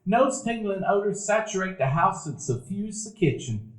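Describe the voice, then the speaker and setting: A man narrating a live stage radio play in a warm, reminiscing storytelling manner. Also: high voice, very animated, normal speed. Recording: echoey room, live audience.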